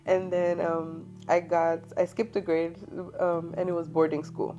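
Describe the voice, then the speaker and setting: Lively, laughing woman host on video call. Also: on the low side, measured pace, faint music behind. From New York, United States. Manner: animated storytelling, laughing often. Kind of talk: casual interview chat.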